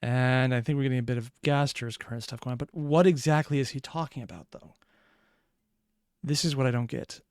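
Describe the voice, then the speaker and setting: Guy doing casual reaction commentary, crisp clean voice, close dry sound, wry little analytical asides. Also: medium pitch, fairly quick, a little mellow, dry recording. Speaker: reaction video host.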